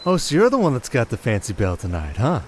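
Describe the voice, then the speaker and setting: A man playing a breezy, smooth-talking young nobleman in a fantasy audio roleplay, chatty and eager to flatter. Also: mid-range voice, quick pace, noisy background. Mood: flattering, cheerful. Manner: chatty, ingratiating.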